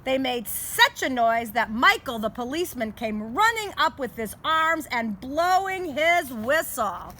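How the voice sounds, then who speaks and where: Lady doing storytime, high voice, slow and careful, recorded outside with noticeable background noise. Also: big pitch swings, bright, forceful tone. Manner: deliberate, showing pictures to listeners. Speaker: storytime reader. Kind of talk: children's picture book read-aloud. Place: outdoors in a garden. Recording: clear outdoor background noise.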